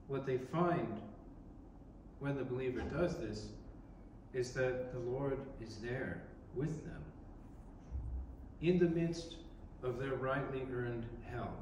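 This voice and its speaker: A man in his thirties, an Orthodox priest, giving a reflective sermon with pauses, recorded from a distance in a large church. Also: medium pitch, darkish tone. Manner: slow, reflective, with pauses and restarts. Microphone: distant camera in the congregation. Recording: echoey church from far off, background noise.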